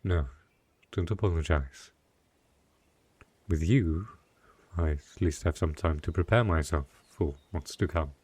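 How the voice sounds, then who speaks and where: A man playing a lonely, gentle but possessive dark knight in an intimate fantasy audio roleplay, speaking softly and haltingly. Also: very deep voice, husky, no echo, heavily acted. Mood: tender, wistful.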